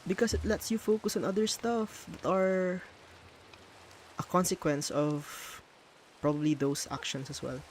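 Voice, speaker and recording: Filipino-accented man, slightly high voice. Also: steady tone, a little hiss or hum. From Philippines. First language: Tagalog. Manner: thinks aloud, pauses to hunt for English words. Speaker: streamer. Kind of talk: casual commentary while building in a game.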